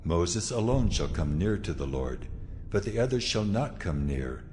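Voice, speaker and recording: An actor playing God in a dramatized scripture reading, solemn, majestic and authoritative, with measured delivery. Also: male voice, low voice, very animated, slight background noise. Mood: solemn, commanding. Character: God, a solemn, commanding, majestic male deity. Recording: long cavernous reverb over soft music.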